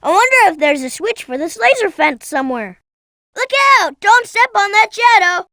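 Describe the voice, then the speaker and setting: A performer voicing an eager, helpful young boy fox in a video game, speaking earnestly and clearly in scripted lines. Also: very high-pitched, very animated, normal speed, sharp tone, dry recording, studio quality, full character voice. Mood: helpful, curious, then urgent warning.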